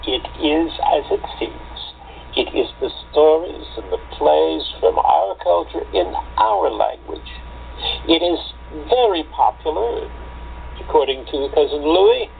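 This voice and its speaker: Guy doing a worried loving village dad in a radio play, creaky voice, earnest, kinda noisy recording. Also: on the high side, very animated, unhurried, full character voice. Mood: earnest, persuading. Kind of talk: amateur radio play acting. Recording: tinny phone-like line with noise.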